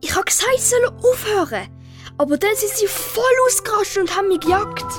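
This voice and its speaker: Young boy, earnest and easily upset, very high pitch, children's audio drama, light music behind. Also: lively intonation, fairly quick. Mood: indignant. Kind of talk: audio story dialogue for children.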